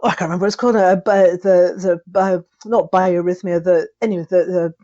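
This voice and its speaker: A woman's voice: fairly low pitch, quick pace, highs cut off. Scottish woman telling a personal story. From Scotland. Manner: animated anecdotal telling with frequent restarts and laughter. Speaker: podcast guest.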